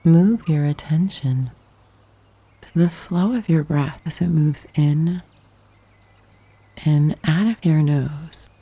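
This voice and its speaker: A woman leads a guided meditation in a low, breathy voice that often slips toward a whisper. She speaks very slowly and gently, leaving long, calm pauses between short phrases. Her tone is soft and mellow throughout. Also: highs cut off. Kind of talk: guided yoga nidra meditation. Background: birdsong and nature sounds.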